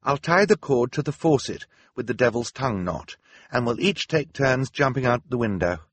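A man's voice: medium pitch, lively intonation, moderate pace, slightly rough, no echo, voice pushed up. A man voicing an earnest, inventive teenage girl, an anxious older sister in an audiobook, explaining things carefully. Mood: determined. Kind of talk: audiobook character acting.

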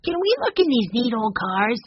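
A narrator voicing an eager, curious little boy in a children's mystery audiobook, excited and outspoken. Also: mid-range voice, moderate pace, breathy edge, no echo, muffled recording, voice pushed up.

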